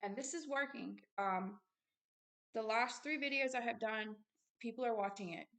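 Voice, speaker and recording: A woman hosting a religious video, reading from a book and commenting informally in a halting, earnest way with insistent asides. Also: fairly high pitch, a bit bright.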